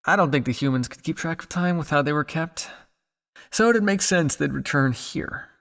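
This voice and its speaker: A man playing a weary, guilt-ridden old vampire scientist in an ASMR roleplay, speaking to the listener in a measured, dryly formal way. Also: on the high side, vocal fry, fairly bright tone, in a large hall. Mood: somber, dry.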